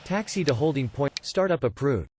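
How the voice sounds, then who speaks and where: A man's voice: medium pitch, expressive, fairly quick. Guy doing air traffic control calls, clipped phrases, voice swings up and down, lots of noise n clicks. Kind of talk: scripted radio phraseology, clipped instructions.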